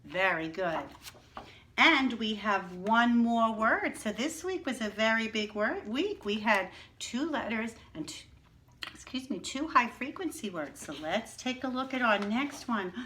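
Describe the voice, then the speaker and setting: A woman teacher leading young children through letters and words, speaking slowly and deliberately. Her voice is bright and forceful, and she keeps asking the kids to say each word with her and praises them. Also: fairly high pitch, animated, slight background noise. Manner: encouraging, slow and drawn out, calls for repetition. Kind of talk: early-reading lesson for young children.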